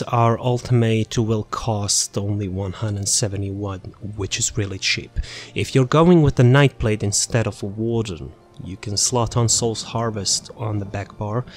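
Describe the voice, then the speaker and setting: A man giving casual, unscripted video game guide commentary, rambling and thinking aloud as he goes. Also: lowish voice.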